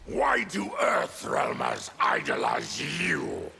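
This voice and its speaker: An actor voicing a proud, embittered monster warrior in a video game, with a gravelly growl and a grave, formal, forceful manner. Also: male voice, medium pitch, huge pitch swings, slow, heavily acted. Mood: angry. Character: fierce, embittered male monster warrior, proud former army tribune. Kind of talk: video game character dialogue.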